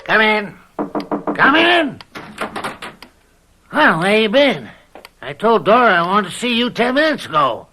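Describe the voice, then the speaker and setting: An actor playing an evil, lecherous old farm uncle in a radio play, slow, bullying and taunting, on an old noisy tape. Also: male voice, high-pitched, very animated, bright, forceful tone, faint music behind, heavily acted.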